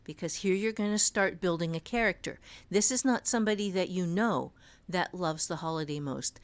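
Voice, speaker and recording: A woman's voice: on the low side, normal speed. A middle-aged woman co-hosting a podcast, teaching writing in an informal, explanatory way. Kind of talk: casual instructional discussion.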